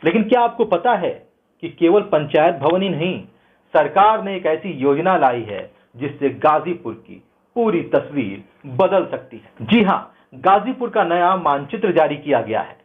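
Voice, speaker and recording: Thin phone-like recording, higher-pitched male news host. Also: lively intonation, measured pace. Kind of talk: scripted news commentary with rhetorical questions. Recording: narrow phone-like band, thin sound.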